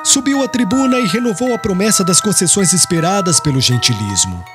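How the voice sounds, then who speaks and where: A man's voice: sits high, animated, a little fast. A man narrating a religious audiobook in a solemn, formal storytelling style. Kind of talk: scripted literary religious narration. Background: glockenspiel-like music.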